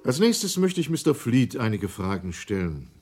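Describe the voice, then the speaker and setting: A man's voice: medium pitch, sing-song, normal speed, a little mellow, a little hiss or hum, close to an everyday voice. An actor playing a courteous, official male committee chairman who examines witnesses in a German documentary radio drama. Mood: formal, brisk. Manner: official, crisp wording, courteous.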